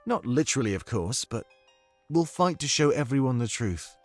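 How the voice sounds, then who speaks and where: Man playing a wary, principled fantasy adviser, mid-pitched. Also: very animated, normal speed, a little mellow, soft background music. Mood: musing. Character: thoughtful male fantasy ally, wary, principled adviser to the monarch. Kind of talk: fantasy audio drama acting.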